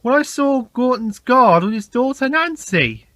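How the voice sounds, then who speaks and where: A man voicing a proud, excitable male steam engine who exclaims in a children's storybook. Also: very high voice, unhurried, dry recording, studio quality, voice pushed up, exaggerated voice. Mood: astonished. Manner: exclaiming, breathless astonishment.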